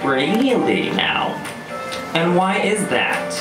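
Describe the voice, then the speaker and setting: A man acts out a stiff, clinical male psychiatrist in a live spoken-word story. The pitch is lifted and the questions are probing, in a roomy space with music clearly behind. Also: sing-song, very slow, exaggerated voice. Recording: roomy live venue, distant mic, music.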